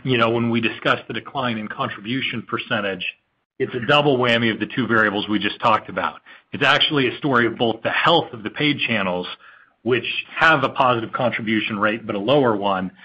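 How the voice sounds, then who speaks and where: A man speaking as a finance executive, giving an articulate, measured analytical explanation on an earnings call. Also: mid-range voice, bright, forceful tone, breathy edge. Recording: dull lo-fi conference call audio.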